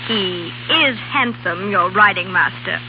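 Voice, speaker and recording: A woman's voice: on the low side, unhurried, lightly acted. A woman playing a bitter, insolent housekeeper in a 1930s radio drama, with a pointed, defiant way of talking. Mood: needling, defiant. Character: bitter, insolent housekeeper of ten years' service. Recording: dull, faintly noisy 1930s radio recording.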